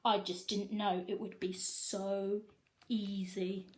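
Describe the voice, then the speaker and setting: A woman voicing a stern, gloating male school principal in a storybook, slow and deliberate. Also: mid-range voice, little pitch movement, roomy sound, close to an everyday voice. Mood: gloating, accusing.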